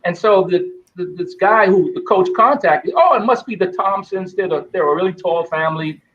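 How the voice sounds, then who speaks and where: A middle-aged man, a guest telling stories in an animated way. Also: high voice, normal speed, highs cut off, a little hiss or hum. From Bahamas. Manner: animated storyteller acting out quoted voices. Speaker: guest, former basketball player. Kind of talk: storytelling interview.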